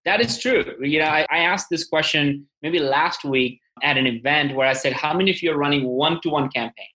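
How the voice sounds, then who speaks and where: Bright, echoey male podcast host. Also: a little high, fairly quick. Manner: emphatic, acts out quoted remarks. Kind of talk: conversational podcast interview. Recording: echoey, reverberant room sound.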